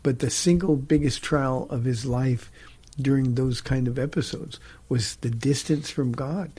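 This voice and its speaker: A middle-aged man, a pastor hosting a talk show, teaching from the Bible in an earnest, halting way with frequent restarts. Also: medium pitch, a little mellow, breathy edge.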